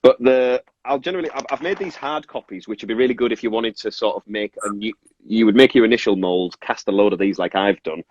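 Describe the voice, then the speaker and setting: Rambling low-voiced northern English man. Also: fast, a little mellow. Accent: Cumbrian northern English with Scottish influence. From Carlisle, Cumbria, northern England. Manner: rambling, self-interrupting, apologetic about tangents. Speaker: toy maker and resin artist, guest. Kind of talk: casual, informal craft explanation. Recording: muffled, echoey room sound.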